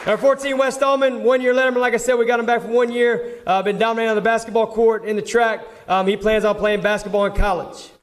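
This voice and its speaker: A man's voice: very high voice, flat delivery, quick pace, few pauses. A man speaking as a coach at an award ceremony, informally reading out a roster to the audience. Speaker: football coach presenting awards. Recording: live event, crowd noise, clear background noise.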